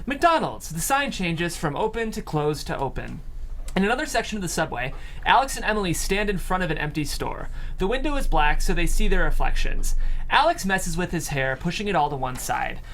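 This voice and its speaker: A woman's voice: on the low side, big pitch swings, a little fast, fairly bright tone, a little hiss or hum. A woman reading out stage directions as scripted narration at a comedy table read.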